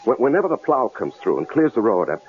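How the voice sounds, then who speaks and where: An actor in a 1970s radio drama playing a frightened married man held captive, pleading in a halting, stammering way. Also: medium pitch, very animated, lightly acted. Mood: desperate. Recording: muffled, noisy old radio broadcast recording.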